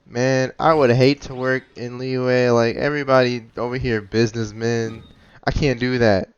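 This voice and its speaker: Casual gameplay commentator: smooth voice, informal and teasing, slightly muffled recording. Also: mid-range voice, little pitch movement, normal speed. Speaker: game streamer.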